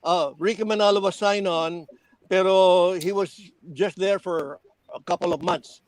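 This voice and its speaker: A man's voice: sits high, steady tone, normal speed, no echo, rough recording. An older man with a Filipino accent, telling stories casually and animatedly in a group chat. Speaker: guest, former radio and record industry man.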